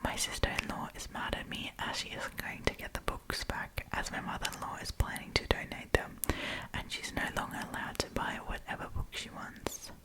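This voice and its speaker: A woman's voice: moderate pace, poor audio. Whispering woman, ASMR storytelling. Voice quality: breathy, unvoiced whisper. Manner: chatty, informal, opinionated. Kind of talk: whispered ASMR reading of online stories with casual commentary.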